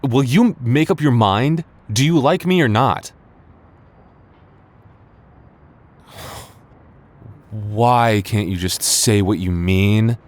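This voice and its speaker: A man playing a flustered, defensive young man secretly in love with his childhood friend, speaking haltingly with stammers in a romantic audio roleplay. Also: mid-range voice, natural voice. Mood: frustrated.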